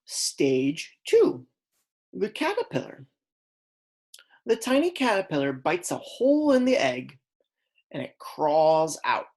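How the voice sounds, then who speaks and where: A woman reading aloud as a kindergarten teacher, in a deliberate, instructional way that stresses key words. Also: sits low, wildly swooping pitch, a little mellow. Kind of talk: read-aloud lesson for young children.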